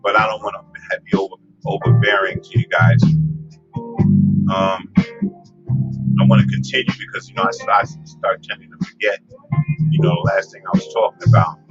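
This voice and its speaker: A man's voice: low-pitched, steady tone, moderate pace, pauses often. Rambling man with a strong voice. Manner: rambling, many fillers and restarts. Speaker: host. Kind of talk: casual advice livestream. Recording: lo-fi with music behind. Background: guitar music.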